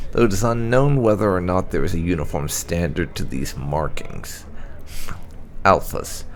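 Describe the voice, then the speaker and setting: Low-key creaky man reading fantasy lore. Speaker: gaming channel host. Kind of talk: scripted narration, reading lore entries aloud.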